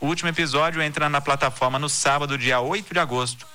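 Bright-voiced male news podcast host. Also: a little high, soft background music. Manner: steady, measured newsreader delivery. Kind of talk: scripted news reading.

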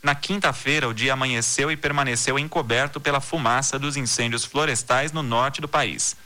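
A man from Brazil reads the news as a podcast host, with a very bright, forward tone and a steady, measured cadence. Soft music sits low underneath him. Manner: steady, measured newsreader delivery. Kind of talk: scripted news reading.

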